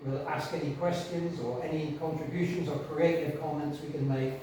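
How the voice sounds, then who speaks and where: A man hosting a business networking meeting, talking in a relaxed way with many uhs and ums. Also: on the high side, normal speed. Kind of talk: casual event hosting. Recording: echoey room, from a distant mic, faint noise.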